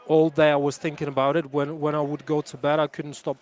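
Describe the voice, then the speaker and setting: A man's voice: fairly high pitch, monotone, a little fast, a little mellow. A man in his thirties, a jiu-jitsu coach, answering interview questions earnestly and reflectively, with frequent restarts. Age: 30s.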